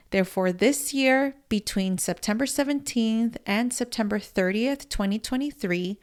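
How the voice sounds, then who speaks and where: A woman podcast host, earnest, mixing Spanish words into her English as she reads an intro and chats with a guest. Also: medium pitch. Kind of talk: scripted intro then casual interview.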